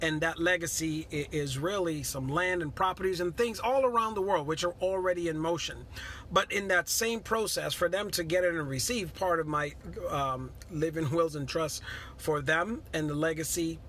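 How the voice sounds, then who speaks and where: Earnest middle-aged man, bright voice. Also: fairly high pitch, a little hiss or hum. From Guyana. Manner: earnest, repeats himself, greets viewers by name. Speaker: motivational live-stream host. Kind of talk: casual motivational talk.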